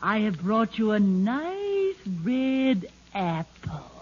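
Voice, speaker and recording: A man's voice: high voice, huge pitch swings, extremely slow, breathy edge, full character voice. A man playing a wicked, cackling old queen who wheedles with false sweetness in a 1940s radio comedy. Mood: wheedling. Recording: muffled, faintly noisy old radio.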